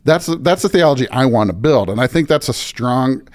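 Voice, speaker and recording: A man's voice: medium pitch, animated, fairly quick. A man speaking as a pastor in a thoughtful interview, earnest and reflective, often repeating phrases for emphasis. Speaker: pastor and podcast guest.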